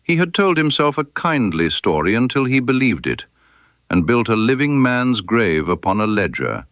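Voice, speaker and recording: Slow, low-voiced male storyteller. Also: dry recording, lo-fi sound. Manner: measured, literary storytelling. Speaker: narrator of a detective story. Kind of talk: scripted first-person narration of a period mystery story.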